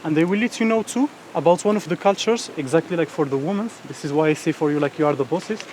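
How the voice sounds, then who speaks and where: A man's voice: fairly high pitch, fast, a little mellow, slight background noise. A man from Morocco with an Amazigh accent, guiding a tour and explaining local customs, often checking in with "okay?". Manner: frequent "like", "exactly" and "okay?" checks. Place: outdoors in a village.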